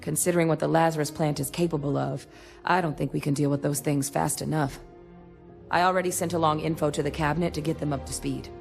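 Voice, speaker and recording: A resolute woman scientist talks in a fairly low voice. She is plain-spoken and businesslike, as in sci-fi game dialogue, with soft music behind her. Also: fairly even intonation, fast, vocal fry. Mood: brisk, focused. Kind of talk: video game character dialogue.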